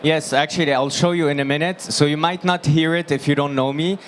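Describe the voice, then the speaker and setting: A man in his thirties speaks from a conference stage into a handheld microphone, his voice strained and fading from shouting. He sits a little high for a man. His delivery is upbeat, with easy jokes. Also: fairly even intonation, fairly quick, a little hiss or hum. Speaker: product presenter. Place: large indoor expo hall stage.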